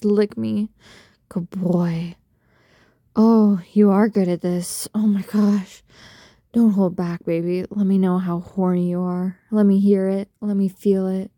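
A woman speaking in an intimate adult roleplay style straight to the listener, soft, breathy and coaxing, full of doting pet names. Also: mid-range voice, level tone, unhurried, no room sound. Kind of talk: intimate erotic roleplay audio, addressing the listener.

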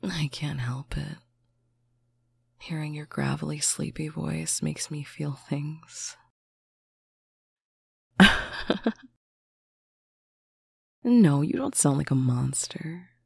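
A speaker in a slow, intimate roleplay, talking softly to a faraway partner on a bedtime call, often dropping into a whisper. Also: medium pitch, vocal fry, slightly rough, no room sound. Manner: soft, affectionate, often whispered. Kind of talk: intimate roleplay monologue to the listener.

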